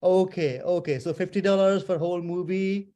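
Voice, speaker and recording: A man's voice: high-pitched, steady tone, normal speed, mellow, muffled recording. A man hosting a casual video interview, asking playful, teasing questions. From Nepal. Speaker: host.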